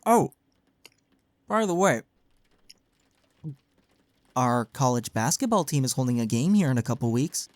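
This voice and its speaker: A man's voice: mid-range voice, very animated, unhurried, long pauses, a little mellow, dry recording. A young man's voice in an intimate audio roleplay, recorded very dry. He is acting as a caring college best friend speaks casually and earnestly, with a playful, teasing streak, as if to one listener. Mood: playful, chatty. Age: young adult.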